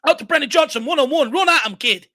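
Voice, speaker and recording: A hoarse Irish man hosting a fan watchalong, giving excited live commentary and urging the players on. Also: very high-pitched, fast. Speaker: fan channel host. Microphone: home desk mic.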